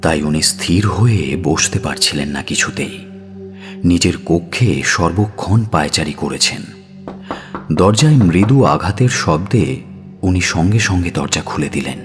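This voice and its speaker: Very deep, slow male storyteller. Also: lively intonation. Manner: measured, dramatic. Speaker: narrator. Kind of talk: scripted dramatic story narration. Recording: music bed under narration.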